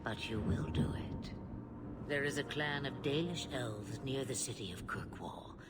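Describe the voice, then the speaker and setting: A woman's voice: very deep voice, expressive, a little slow, heavy background noise. An actress playing an ancient, cryptic witch in a video game, an older woman with a theatrical, musing, teasing manner. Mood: grave.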